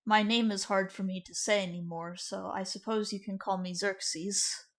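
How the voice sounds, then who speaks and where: A woman voicing a calm, formal insect-like creature with a carapace, speaking slowly and evenly. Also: medium pitch, close to an everyday voice. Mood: measured.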